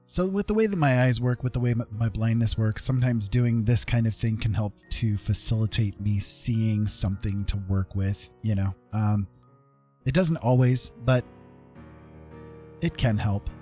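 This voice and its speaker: A man's voice: lowish voice, moderate pace, warm tone. A man chatting casually and laid-back on a livestream, thinking aloud as he paints. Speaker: streamer, digital painter. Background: piano music.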